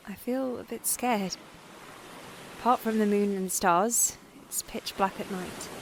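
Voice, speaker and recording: A woman playing a frightened, weary young plane-crash survivor in an audio drama monologue, confiding in a halting, plain-spoken, wryly understated way. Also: medium pitch, fairly bright tone, lightly acted. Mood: uneasy, hopeful. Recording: clearly noisy background.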